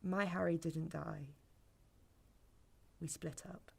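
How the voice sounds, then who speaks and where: Low, creaky, sceptical young woman. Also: steady tone, slow pace, a little mellow, heavily acted. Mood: confessional. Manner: dry, argumentative, matter-of-fact. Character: sceptical, blunt woman on a first date, guarded. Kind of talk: naturalistic sci-fi audio drama dialogue.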